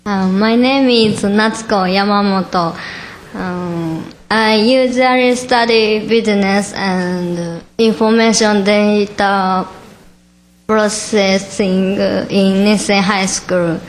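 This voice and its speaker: A teenage female student from Japan with a Japanese accent, introducing herself very hesitantly in broken English with long pauses. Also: mid-range voice, fairly even intonation, low-quality recording. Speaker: exchange student. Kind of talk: halting self-introduction. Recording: faint background noise, room ambience.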